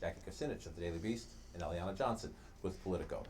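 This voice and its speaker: News anchor guy, talks fast, lowish voice, faint noise on the broadcast. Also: darkish tone. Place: TV studio.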